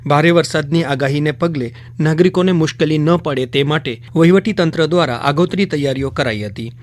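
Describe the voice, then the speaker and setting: A male radio news presenter. His voice sits somewhat high as he reads a scripted bulletin. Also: brisk.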